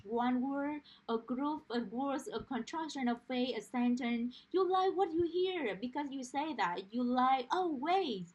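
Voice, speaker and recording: Woman, Romanian accent, slightly high voice, earnest pronunciation teacher talking to camera. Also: darkish tone, breathy edge, rough recording. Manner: earnest, explanatory, uses everyday analogies. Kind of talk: direct-to-camera teaching monologue.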